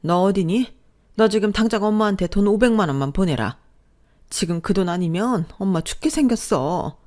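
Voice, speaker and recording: A woman voicing a desperate, scheming middle-aged mother-in-law who scolds and begs for money in a shrill, pushy voice. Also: lively intonation, moderate pace, a little mellow, close to an everyday voice. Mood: frantic, nagging.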